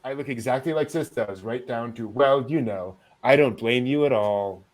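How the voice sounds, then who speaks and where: A man's voice: medium pitch, normal speed. A man hosting a casual anime news show, chatting and joking with a wry, amused delivery.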